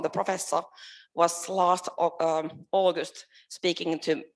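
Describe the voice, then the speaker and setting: A woman's voice: deep voice, creaky. A woman with a Finnish accent introducing herself as an arts lobbyist at a roundtable, in a chatty way. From Finland.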